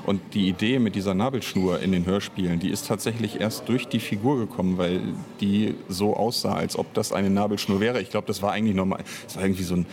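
Low-pitched man, interview guest, rambling halting explanations, chatter of people behind him. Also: fairly even intonation. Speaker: interview guest, audio drama author and director. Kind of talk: unscripted interview answers.

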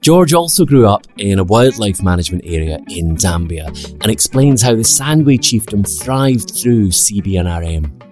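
A man's voice: lowish voice, sing-song, moderate pace, a little mellow, faint music behind. A man reading scripted narration as a narrator.

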